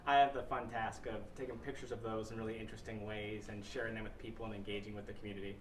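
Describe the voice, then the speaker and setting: A man's voice: fairly low pitch, flat delivery, fast. A man speaking as an interview guest, casually and upbeat, explaining his marketing work. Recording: roomy, distant mic, faint noise.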